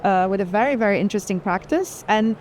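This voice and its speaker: A woman's voice: mid-range voice, quick pace, a little mellow. A woman speaking as an art curator in a thoughtful, unscripted discussion, qualifying and repeating words as she thinks. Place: indoor art fair, walking among stands. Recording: faint busy fair hall noise.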